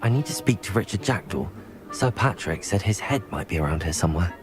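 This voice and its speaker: A schoolboy hero in a video game. He is courteous, inquisitive and to the point, with lively intonation in an everyday voice over clear music. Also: deep voice, fairly quick. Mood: polite, determined. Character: polite, direct, curious male teenage student. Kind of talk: video game character acting.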